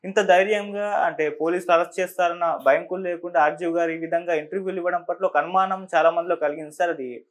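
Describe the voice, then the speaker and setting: A man's voice: sits high, fairly even intonation, quick pace. A man with a Telugu accent hosting a conversational news interview, putting questions in a deferential, respectful way. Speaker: interviewer, host.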